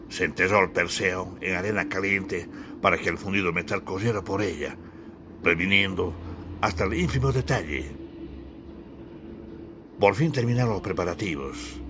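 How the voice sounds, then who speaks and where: A man's voice: low-pitched, noisy background. A man from Bolivia narrating his own literary audiobook in a dramatic, theatrical storytelling style. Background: music.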